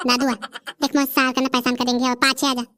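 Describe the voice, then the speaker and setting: A woman's voice: high-pitched, flat delivery, fast, fairly bright tone. A woman voicing a chatty, teasing village character in a comedy cartoon, with a Bundeli accent.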